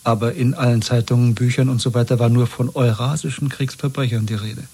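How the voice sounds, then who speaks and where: An actor playing a weary, earnest ministry worker, speaking tersely in a subdued, husky voice, in a 1970s radio drama. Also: male voice, medium pitch, fairly even intonation, brisk, dark tone.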